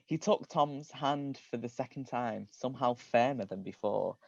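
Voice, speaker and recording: A man reads comic fan fiction aloud in a medium-pitched voice. The sound is dry and slightly muffled, like a lo-fi online stream. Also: normal speed, vocal fry, a little mellow, no room sound. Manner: often breaks into giggles. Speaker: reader. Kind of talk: reading comedic fan fiction aloud on a livestream.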